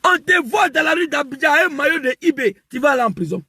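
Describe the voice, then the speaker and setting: A man delivering an impassioned political rant, emphatic and repetitive, rising to shouts. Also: very high-pitched, expressive, quick pace, dry recording. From West Africa. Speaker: political commentator.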